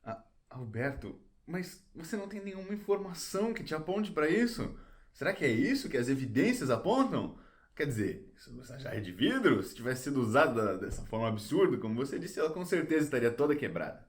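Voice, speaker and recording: A man plays a patient, didactic guide in an educational audio drama. His mid-pitched voice moves up and down with theatrical emphasis as he explains and encourages. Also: big pitch swings, a little fast. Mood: patient, explaining. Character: patient, didactic male guide to detective reasoning.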